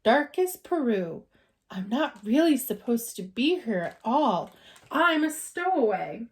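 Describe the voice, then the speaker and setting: A woman's voice: a little high, very animated, slow pace. A woman voicing a small, earnest young male bear with courteous, careful, formal manners in a storybook. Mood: earnest, confiding.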